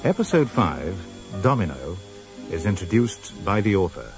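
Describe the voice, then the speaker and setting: A man's voice: sits low, sing-song, normal speed. A man making a scripted announcement for a vintage radio drama. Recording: vintage radio, music behind.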